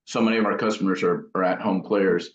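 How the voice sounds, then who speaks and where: A man's voice: sits low, steady tone, quick pace. A man giving loose, meandering explanations in a casual interview as the guest. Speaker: guitar amp builder and guest. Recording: dull, roomy, echoing audio.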